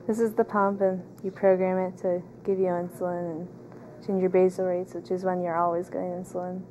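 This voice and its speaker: Flat-pitched American teenage girl, interviewed. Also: a little fast, a little hiss or hum, low-quality recording. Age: teenager, about 15. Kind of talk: casual interview answers.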